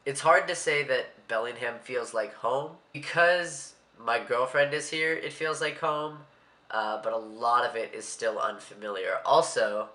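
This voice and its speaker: Stuffy-nosed young man, bright voice. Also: medium pitch, measured pace, roomy sound. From Maryland, USA. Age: young adult. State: stuffy, congested. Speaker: vlogger. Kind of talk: casual vlog monologue.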